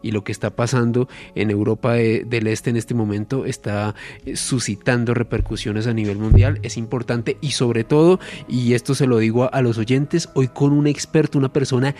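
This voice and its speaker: A man with a mid-pitched voice, co-hosting a radio podcast. He speaks formally and with emphasis as he greets the listeners and sets up the topic. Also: steady tone, moderate pace, mellow, soft background music. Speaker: radio co-host. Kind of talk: podcast co-host remarks.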